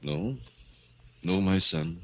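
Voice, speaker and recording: An actor playing a solemn, gentle male prison priest in a 1940s radio thriller, speaking gravely. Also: low voice, slow. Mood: solemn, compassionate. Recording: muffled, noisy 1940s radio recording.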